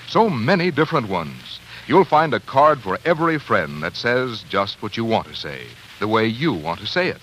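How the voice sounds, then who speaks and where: A man's voice: on the low side, big pitch swings, moderate pace, few pauses, fairly bright tone. A man reading a sponsor message as a 1940s radio announcer, in a polished, persuasive, emphatic delivery. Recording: slightly noisy 1940s radio recording.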